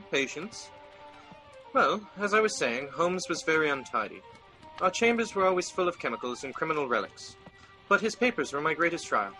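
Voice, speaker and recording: Man acting fussy exasperated gentleman, radio drama, bright forceful voice, highish pitch, declamatory. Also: rough recording.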